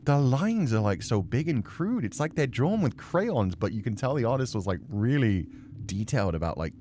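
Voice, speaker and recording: A man livestreaming a horror game, chatting casually and excitably and swearing freely. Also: mid-range voice, normal speed, a little hiss or hum. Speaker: game streamer.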